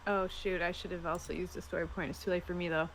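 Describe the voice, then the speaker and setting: A woman's voice: on the low side, flat delivery, fast, a little hiss or hum. A woman talking casually as a player during a tabletop role-playing session. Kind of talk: casual game-table chat.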